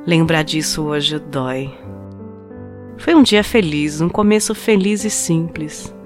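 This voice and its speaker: A woman's voice: sits low, slow pace. A woman acting a heartbroken young woman who tells the story of her lost love in an intimate, confessional way. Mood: bitter, reflective. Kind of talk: dramatized first-person short story narration in a podcast. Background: music under the voice.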